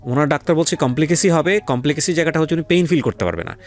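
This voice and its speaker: A man's voice: fairly high pitch, rapid-fire. A man with a Bangladeshi accent hosting an interview, asking questions and explaining points to listeners with some English words mixed in. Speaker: radio show host.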